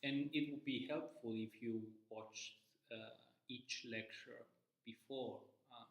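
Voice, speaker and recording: A man from Cyprus who lectures in primary mathematics, introducing his part of a course in a measured way, with frequent uh pauses. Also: mid-range voice, fairly even intonation, echoey room, low-quality recording.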